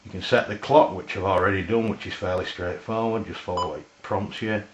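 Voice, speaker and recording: A man with an English accent giving an informal, unscripted hands-on product demonstration, halting and often pausing mid-sentence. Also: low voice, steady tone, background noise. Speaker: product reviewer. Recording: roomy, dull lo-fi camera sound.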